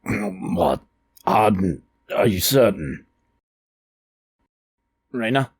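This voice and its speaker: A man's voice: on the low side, lively intonation, extremely slow, husky, exaggerated voice. A man playing a grave, devoted warrior companion in an audio drama, terse and earnest. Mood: concerned. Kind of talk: fan audio drama acting.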